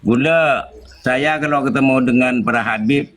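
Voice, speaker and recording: A man with a Madurese accent giving an informal religious talk in a group discussion, in a halting, code-switching delivery. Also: medium pitch, fairly bright tone. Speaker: guest speaker in a group discussion.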